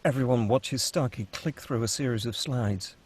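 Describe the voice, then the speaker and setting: A man narrating the stage directions at a script table read, steady and descriptive. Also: mid-range voice, normal speed, breathy edge.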